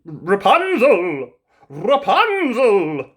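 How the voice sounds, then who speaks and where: A woman's voice: medium pitch, huge pitch swings, drawn-out words, slightly rough, pitched above the performer's own voice, full character voice. A woman voicing an eager, grandiose young storybook prince, calling out in a declamatory way. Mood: calling, pleading.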